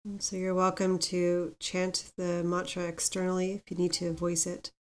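A woman guiding a meditation as host, speaking gently and without hurry, leaving pauses between instructions. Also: on the low side, completely flat delivery. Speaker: meditation guide, podcast host. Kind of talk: guided meditation with mantra chanting.

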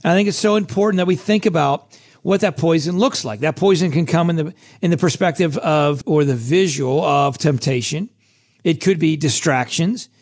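Hoarse man hosting a podcast. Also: a little high, normal speed, a little mellow. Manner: casual and chatty, with many restarts. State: hoarse, scratchy voice. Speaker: podcast host. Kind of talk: motivational podcast monologue.

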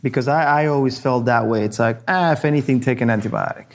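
A man's voice: medium pitch, fast talker. A man from Argentina hosting an interview, chatty and agreeable, with lots of restarts as he asks questions. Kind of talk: casual interview chat.

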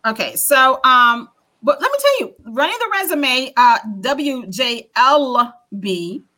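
A woman's voice: high voice, very animated, takes it slowly, sharp tone. A middle-aged woman from Washington, D.C., co-hosting a casual morning podcast chat, laughing and exclaiming often. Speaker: podcast co-host.

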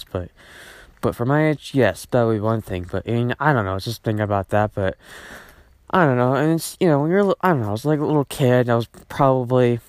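Rambling teenage guy, smooth dry voice. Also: lowish voice, dry recording. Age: teens. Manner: rambling with many fillers and restarts, casual swearing. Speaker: podcast host. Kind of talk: rambling solo podcast monologue.

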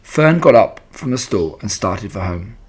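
A man narrating a children's book aloud in an expressive storytelling style. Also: fairly low pitch, unhurried, slightly rough.

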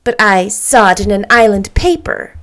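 A brisk, chirpy little lady near fifty who talks with bold emphasis, as a woman reading a storybook puts her on. Her voice is pitched fairly high, and the pace stays measured. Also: animated.

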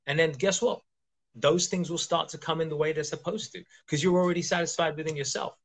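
A man's voice: a little high, steady tone, brisk. A man from Kenya, a coach hosting a podcast, who talks emphatically and keeps asking rhetorical questions. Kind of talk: casual interview chat.

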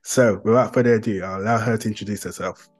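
A man with a fairly low voice hosts a podcast interview. He frames his questions loosely, circling and restarting with friendly fillers before he lands on his point. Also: fairly even intonation, quick pace, faint music behind. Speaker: podcast host.

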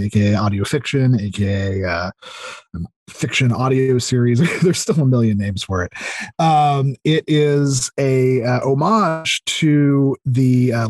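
A man in his thirties chatting casually as a podcast guest, explaining things enthusiastically in a halting way full of fillers. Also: mid-range voice, a little mellow, no echo. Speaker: podcast guest, audio drama creator. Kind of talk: casual interview chat.

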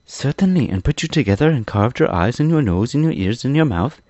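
A man voicing an eager, proud young boy adventurer in a storybook, explaining things excitedly. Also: on the high side, normal speed, no room sound, dull audio, voice pushed up.

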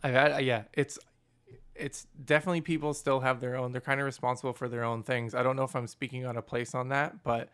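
A man hosting a casual interview, with a booming voice and a loud laugh, asking long, searching questions full of fillers. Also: little pitch movement, a little fast, clear, resonant tone. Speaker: podcast host.

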